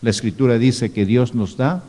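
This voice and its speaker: Male pastor, sermon, mellow warm tone, long church-hall reverb, rhetorical questions to the congregation. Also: mid-range voice, moderate pace. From Ecuador. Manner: teaching, rhetorical asides, reads scripture aloud. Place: church hall, live stream.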